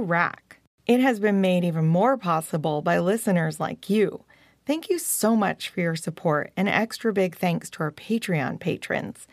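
A woman's voice: fairly low pitch, dry recording. American woman reading podcast credits, dry sound. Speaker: podcast host.

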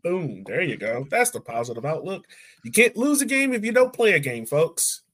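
Lively man hosting a sports podcast. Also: on the high side, normal speed, slight background noise. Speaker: podcast host. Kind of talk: casual sports podcast chat.

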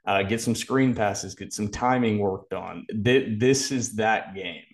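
A man with a fairly low voice talks football as a podcast co-host. He explains things in a measured, detailed way, in a casual analytical style.

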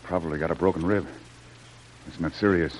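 Guy playing a brave jungle hero, deep voice, takes charge, protective, old radio adventure, bit of hiss. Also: fairly even intonation, fairly quick, darkish tone, close to an everyday voice. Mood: concerned, reassuring. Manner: take-charge, reassuring. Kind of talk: old-time radio adventure serial acting. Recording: noisy old radio drama recording.

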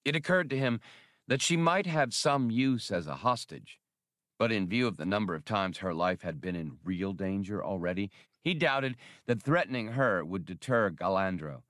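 A man reads scripted fiction in a low voice. His delivery moves widely in pitch from phrase to phrase. The sound is dry, with very little room in it. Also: lively intonation, moderate pace, a bit bright, no room sound. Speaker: audiobook reader.

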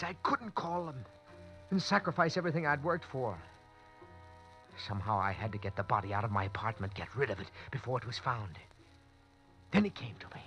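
A man's voice: mid-range voice, a bit bright, natural voice. A man playing a panicked young lawyer narrating. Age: young adult. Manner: tense, anxious first-person narration. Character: panicked young lawyer who wakes beside a corpse. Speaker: narrator and lead. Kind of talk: 1940s radio acting. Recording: muffled old radio broadcast with music.

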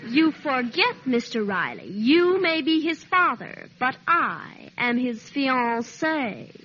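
A woman's voice: high-pitched, very animated, slow, exaggerated voice. An actress playing a prim, precocious, bossy twelve-year-old girl with a haughty, formal manner in old radio sitcom style. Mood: smug, imperious. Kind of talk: 1940s-50s radio sitcom acting. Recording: dull hissy old radio recording.

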